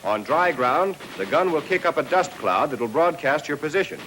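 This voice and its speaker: An American man narrating a 1940s military training film, reading a scripted lesson and addressing trainees directly with clear instructions. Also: fairly high pitch, lively intonation, moderate pace, background noise.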